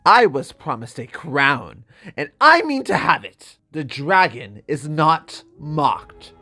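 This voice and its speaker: A man's voice: a little high, big pitch swings, pushed and bright, faint music behind, pitched above the performer's own voice, exaggerated voice. Man acting a haughty, sneering young exiled prince. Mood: petulant demanding. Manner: imperious, drawn-out, sneering delivery.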